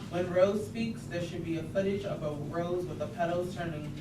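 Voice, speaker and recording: A woman's voice: lowish voice, moderate pace. Woman, stage directions reader, scripted delivery, echoey room, background noise. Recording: distant, echoey, noisy room.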